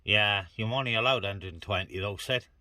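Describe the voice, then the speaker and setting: A man's voice: fairly low pitch, a little fast. Man in heavy radio noise. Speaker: CB radio operator hosting a net. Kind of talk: casual radio chat. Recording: very noisy CB radio hiss and static.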